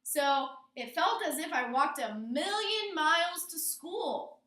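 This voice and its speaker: A woman teacher with a very high voice explains things to a class and often checks in with questions. She is recorded in an echoey room, some way from the microphone. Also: lively intonation, slow pace, bright, forceful tone. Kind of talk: classroom lesson on figurative language. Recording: roomy echo, distant microphone.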